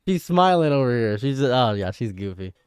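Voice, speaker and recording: A man's voice: mid-range voice, big pitch swings, normal speed, clear, resonant tone, no room sound, clean studio sound. A young man with a nasal voice, rambling and self-mocking as he chats with his live stream viewers. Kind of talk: casual live stream chat.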